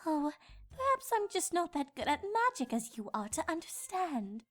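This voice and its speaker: A woman's voice: very high-pitched, very animated, normal speed, fairly bright tone, faint music behind, full character voice. A woman voicing a dramatic, genteel, doting female cartoon unicorn fashion designer with a refined, theatrical manner. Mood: polite. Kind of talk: cartoon fan-fiction voice acting.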